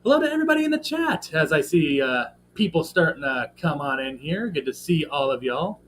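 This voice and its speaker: A young man hosts a casual live stream, his voice slightly scratchy and sitting a bit higher than most men's. He rambles through his thoughts with frequent fillers and stops to greet his chat. A faint background hiss runs under his talk. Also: sing-song, a little fast. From American Midwest. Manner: rambling, filler-heavy, friendly shout-outs to chat. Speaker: livestream host.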